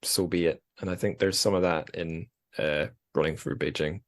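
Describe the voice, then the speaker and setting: A man's voice: low-pitched, steady tone, normal speed. A man in his thirties hosting a casual podcast, talking in an informal, reflective way with some swearing. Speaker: podcast host.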